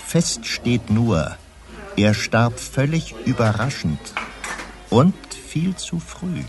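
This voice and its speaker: An actor playing a shrewd local newspaper chief in a radio crime drama, telling things in a knowing, theatrical, self-assured way. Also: male voice, mid-range voice, sing-song, takes it slowly, over music. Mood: ominous storytelling.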